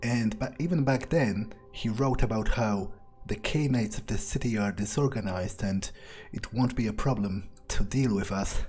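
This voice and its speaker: A man's voice: on the low side, unhurried, darkish tone, slightly rough. A man improvising an in-character gameplay monologue as a sardonic vampire, musing hesitantly and casually to himself with dry, morbid humor. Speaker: let's player role-playing a character.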